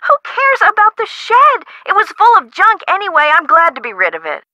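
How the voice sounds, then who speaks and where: An actress playing an excitable, worried woman homeowner in a video game, American and chatty in an emphatic way. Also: very high voice, brisk, no room sound, lo-fi sound, exaggerated voice. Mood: exasperated, alarmed. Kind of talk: scripted game dialogue.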